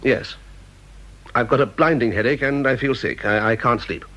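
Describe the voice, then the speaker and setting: A man's voice: medium pitch, normal speed, bright, forceful tone, lightly acted. An actor playing a stern, proud male government official in a radio drama, clipped and officious. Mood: strained, stiff. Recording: muffled, noisy radio drama recording.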